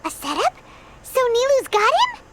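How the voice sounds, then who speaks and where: A woman voicing a small, excitable, childlike fairy sidekick with a squeaky voice in a video game. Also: unhurried, very noisy, heavily acted. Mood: puzzled. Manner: exclaims, refers to self by name.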